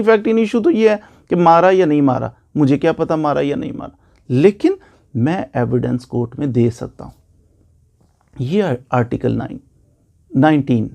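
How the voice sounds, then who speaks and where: A man's voice: on the high side, creaky, dark tone. A man with a Pakistani accent giving an explanatory lecture, repeating key points and answering his own questions. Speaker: law lecturer and advocate. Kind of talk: explanatory lecture with everyday examples.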